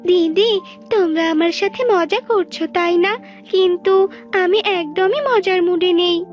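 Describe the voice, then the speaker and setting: Casting: woman as small plaintive girl bird for a cartoon fable, very high earnest voice, dull audio. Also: unhurried, soft background music. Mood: hurt, indignant. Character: small young female bird, tearful, trusting, indignant when wronged.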